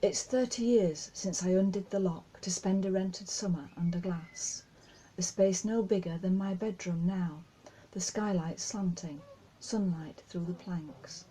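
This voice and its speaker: Slow, husky woman reading poetry. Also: lowish voice, mellow, poor audio. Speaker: poetry reader. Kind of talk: reading a poem aloud.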